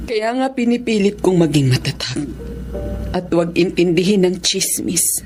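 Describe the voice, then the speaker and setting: A middle-aged schoolteacher and mother, earnest and emotional, played by a woman. Her voice sits low and moves a lot. Faint music runs underneath. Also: animated, moderate pace, natural voice. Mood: hurt. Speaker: teacher and mother. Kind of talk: Tagalog radio-style drama acting.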